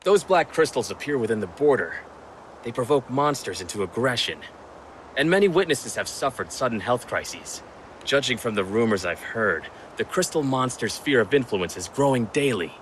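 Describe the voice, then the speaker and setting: An actor voicing a stern, sharp-minded video game hero, serious and suspicious, with a clipped, commanding manner. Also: male voice, mid-range voice, animated, moderate pace, a little hiss or hum, close to an everyday voice, low-quality recording. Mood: grave. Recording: music under the voice.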